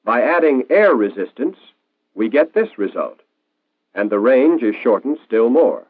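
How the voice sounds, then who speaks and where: A tinny, band-limited old film soundtrack carries an American man's narration. He explains things in a clear, instructional 1940s style, with lively rises and falls in his pitch. The sound is dry, with very little room around the voice. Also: unhurried, dry recording.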